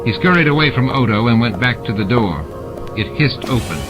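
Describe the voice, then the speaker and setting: A man's voice: medium pitch, expressive, a little fast, lo-fi sound. A man narrating fiction from a script as an audiobook reader. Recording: music and sound effects behind the voice. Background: added music and sound effects.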